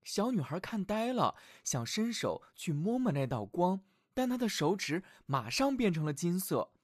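A man narrating a scripted fairy tale for small children as their storyteller, in an expressive, affectionate manner. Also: high voice, moderate pace, dry recording, studio quality.

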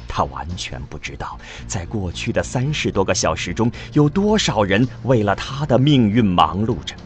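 A man giving solemn, expressive scripted narration for a science fiction audio drama. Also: lowish voice, moderate pace. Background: music.

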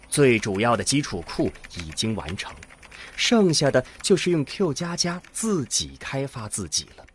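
Husky, expressive male storyteller. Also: medium pitch, dry recording. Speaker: narrator of an audio drama. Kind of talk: scripted science fiction narration. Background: music under the voice.

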